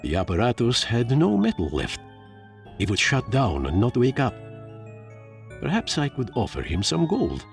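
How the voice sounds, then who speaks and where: A man voicing a stubborn, self-pitying fluffy engineer creature in a game, narrating in a theatrical, brooding, gravelly manner. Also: medium pitch, huge pitch swings, moderate pace, soft background music. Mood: melancholy. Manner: theatrical, brooding, pompous delivery. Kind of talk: dramatic first-person game narration.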